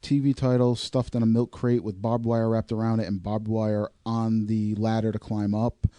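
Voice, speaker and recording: Dude on a podcast, mellow warm tone, dry close sound, joking around and swearing a bit. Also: male voice, lowish voice, little pitch movement, moderate pace, no echo. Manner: loose and joking, swears casually. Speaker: podcast co-host. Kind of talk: casual podcast chat.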